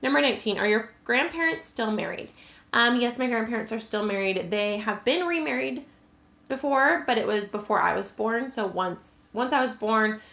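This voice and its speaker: A woman's voice: a little high, expressive, moderate pace, vocal fry, a bit bright, reverberant. A young adult American woman vlogger chatting casually to camera, answering questions with lots of "like" and "um". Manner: chatty, many "like" and "um" fillers.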